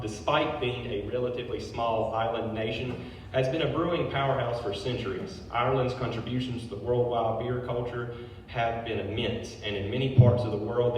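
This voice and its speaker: A man, a brewery owner, giving a public history talk, reading from notes with casual asides. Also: mid-range voice. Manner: informal asides between read passages. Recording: very echoey hall, distant mic, faint noise.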